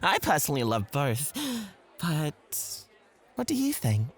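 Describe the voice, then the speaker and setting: A woman's voice: low voice, wildly swooping pitch, slow, exaggerated voice. A woman playing a possessive, coy club host in an ASMR roleplay, teasing and easily flustered, with theatrical phrasing. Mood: coy, sultry.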